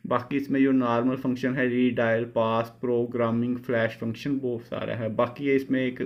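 A man's voice: medium pitch, little pitch movement, moderate pace, dull audio. A man giving an informal product review, explaining by repeating and rephrasing.

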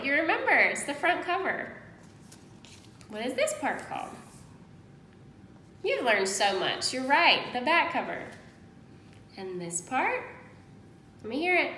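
A woman in her thirties, a kindergarten teacher giving an encouraging read-aloud lesson to young children, pausing after questions. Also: a bit bright. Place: indoors at home, small room. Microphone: phone at arm's length. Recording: roomy echo, faint noise and rumble.

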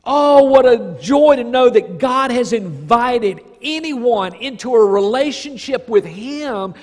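A man in his fifties preaching a church sermon as a pastor, earnest and emphatic, with deliberate, drawn-out phrases in a reverberant church hall. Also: very high-pitched, expressive, darkish tone. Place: large church sanctuary stage, indoors.

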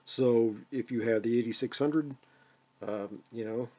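Mellow-voiced American man demonstrating gear. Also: mid-range voice, unhurried. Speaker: radio hobbyist demonstrating a receiver. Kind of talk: casual hands-on video demonstration. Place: indoors at a radio desk.

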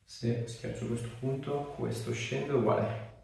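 A man's voice: mid-range voice, fairly even intonation, a little slow, gravelly, darkish tone. A man, giving short, direct instructions and questions as a chiropractor treating a patient. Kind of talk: hands-on clinical instructions and casual chat. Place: small bare treatment room, indoors. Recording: roomy, distant mic, faint noise, clicks.

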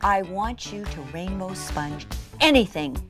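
Excitable, gushing woman demonstrating crafts. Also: mid-range voice, measured pace, music in the background. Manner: excitable and gushing, with breathy emphatic drops to near-whisper.

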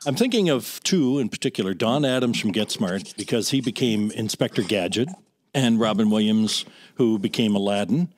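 A man's voice: on the low side, lively intonation, normal speed, a little hiss or hum. A man hosting a podcast, asking questions in a conversational interviewing style. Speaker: podcast host.

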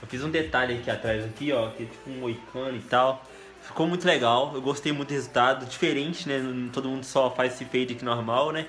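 A young man from Brazil chatting casually in a home vlog, excitable and laughing, with a stuffy nose from a cold. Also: medium pitch, fairly quick, soft background music. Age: young adult. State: congested with a cold. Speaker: gaming youtuber. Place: small room at home.

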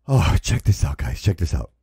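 A man's voice: low voice, very animated, measured pace, dark tone, husky, no room sound, clean studio sound. A man hosting a casual, opinionated talk show, speaking bluntly with repetition and swearing. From Italian background. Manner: blunt, repeats himself, sweary. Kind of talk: casual opinionated podcast chat.

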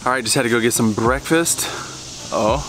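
A man's voice: mid-range voice, moderate pace, poor audio. A man in his thirties, a worship leader, chatting casually and joking while filming himself with a handheld camera. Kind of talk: casual vlog chat. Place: church hall and outdoor parking lot. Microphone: handheld camera at arm's length. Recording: music playing behind.

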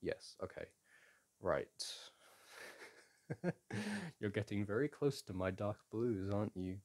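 Man playing a slow, animated boyfriend, teasing and soothing. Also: fairly low pitch, heavily acted. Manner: gently teasing and coaxing, halting. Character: playful, teasing, soothing boyfriend at a board game. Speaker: audio roleplay performer. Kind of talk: boyfriend ASMR roleplay, casual intimate chat to listener.

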